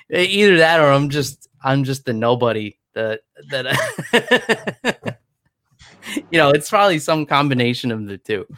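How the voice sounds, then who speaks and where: Easygoing man with a smooth, dry voice. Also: mid-range voice, a bit bright, no room sound. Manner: laughs often, easygoing and rambling. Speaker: podcast co-host. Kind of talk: casual podcast chat.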